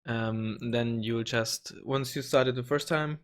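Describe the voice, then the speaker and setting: A young man with a slightly hoarse voice walks through a game tool in a casual tutorial. He rambles, restarts his sentences and swears now and then, talking into a desk microphone at a mid pitch. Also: steady tone, measured pace. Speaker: gaming channel host. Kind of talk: casual screen-recorded tutorial.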